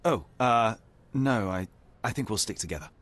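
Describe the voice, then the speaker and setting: An actor playing a young, logical detective in a video game, dry, precise and dismissive. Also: male voice, on the low side, moderate pace, a bit bright, no echo, lightly acted. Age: young adult. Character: young Sherlock Holmes, cool, logical, dry. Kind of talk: video game cutscene voice acting.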